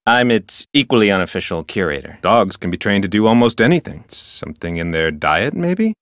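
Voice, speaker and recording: A pompous, touchy male park ranger for a video game. His voice sits a little low but rises and dips dramatically. He speaks formally, as a self-important public servant, in a very dry, close recording. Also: sing-song, a little fast, fairly bright tone, dry recording. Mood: matter-of-fact, pompous. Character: officious, dutiful, touchy park ranger and local history curator. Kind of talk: video game character dialogue.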